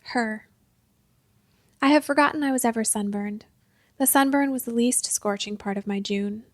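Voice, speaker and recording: A woman narrating a literary short story in a measured, deliberate way, in short careful phrases. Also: fairly high pitch, no room sound, clean studio sound. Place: close-miked dry recording space.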